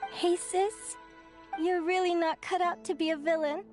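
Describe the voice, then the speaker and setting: A young changeling girl from a fantasy game, in an extremely high, girlish voice. She is sweet, earnest and perceptive, every bit the little sister. Music plays underneath. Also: steady tone, a little slow. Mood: tender, reassuring. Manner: earnest, gentle, girlish delivery. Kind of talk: video game voice acting. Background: background music.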